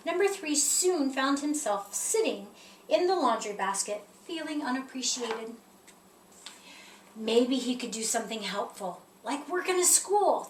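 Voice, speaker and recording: A woman reading a children's picture book aloud in an expressive storytelling style. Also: sits high. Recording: roomy echo, distant mic, faint noise.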